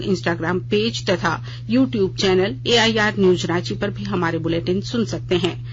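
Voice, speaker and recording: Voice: medium pitch, a bit bright. Formal news reader, dull noisy radio sound. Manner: formal, measured reading. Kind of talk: scripted radio news bulletin. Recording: dull lo-fi radio audio with noise and hum.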